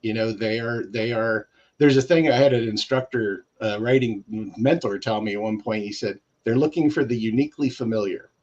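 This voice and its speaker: A man chatting casually as a guest writer on a panel, in a loose, halting way with repeated phrases. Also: fairly low pitch, a little mellow, muffled recording.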